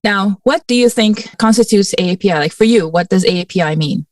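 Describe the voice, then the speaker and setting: A woman from Mauritius co-hosting a podcast, asking questions in a measured, friendly way. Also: mid-range voice, no room sound. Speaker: podcast co-host. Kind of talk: casual conversational podcast chat. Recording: dry and slightly distant.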